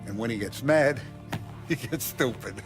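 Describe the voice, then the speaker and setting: An actor playing a calm, authoritative mafia boss who lays down rules in a measured, lecturing way, in a game cutscene. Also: male voice, medium pitch, lightly acted. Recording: faint music behind.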